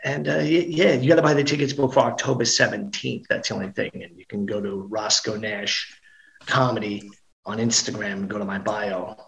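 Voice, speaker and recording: A man's voice: on the low side, brisk, vocal fry, a bit bright, poor audio. A middle-aged man, a guest actor and comedian, chatting casually about his projects in an upbeat way, often restarting his sentences. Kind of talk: casual podcast chat.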